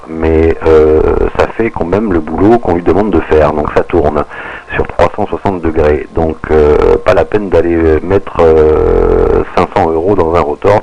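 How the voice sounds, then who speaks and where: A man's voice: sits low, creaky, a little mellow. A man talks casually over amateur radio. He feels his way through sentences and repeats phrases. The audio is dull and lo-fi. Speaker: amateur radio operator recording the contact. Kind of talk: casual ham radio chat.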